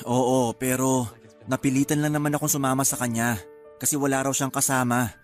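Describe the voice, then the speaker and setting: A man's voice: medium pitch, little pitch movement, normal speed, faint music behind, natural voice. A man playing an evasive, self-justifying husband in a radio drama, defensive and pleading. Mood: sheepish, evasive.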